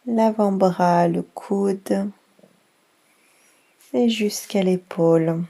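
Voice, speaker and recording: A woman leading a guided yoga nidra session for children, speaking softly with long pauses between short phrases. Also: mid-range voice, creaky. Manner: slow, soft guiding voice with long pauses.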